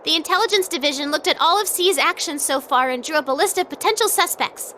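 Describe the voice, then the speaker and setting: A woman's voice: high-pitched, quick pace, bright, forceful tone, slight background noise. An actress playing a perky, cheeky young girl agent in an anime-style video game, with a breezy, matter-of-fact manner.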